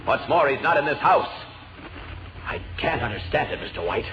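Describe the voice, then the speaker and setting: A man's voice: medium pitch, sing-song, moderate pace, background noise, natural voice. Man playing commanding superhero, muffled vintage radio. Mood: firm, puzzled. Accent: American. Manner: firm, matter-of-fact. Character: steady, commanding male superhero, reassuring and decisive. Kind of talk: 1940s radio acting. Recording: muffled old radio recording.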